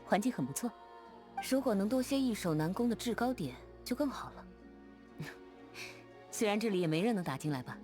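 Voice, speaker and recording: Actress as composed female warrior, watchful, measured game dialogue, medium pitch, clear background music. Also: lively intonation, a little mellow, natural voice. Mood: calm, watchful. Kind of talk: scripted video game voice demo. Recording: prominent music behind the voice.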